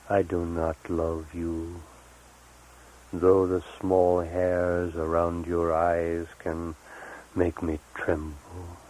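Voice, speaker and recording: A man reading poetry as a poet, in deliberate, measured phrases broken by pauses. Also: low-pitched, a little mellow, slight background noise, low-quality recording. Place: live recorded reading, 1978.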